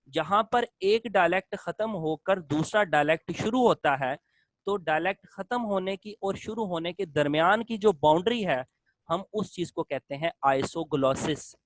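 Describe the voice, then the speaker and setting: A male lecturer teaches in a steady classroom manner, building points through repeated, list-like phrases and switching to English terms. His voice sits a little high for a man, and the recording is dry and close. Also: no room sound.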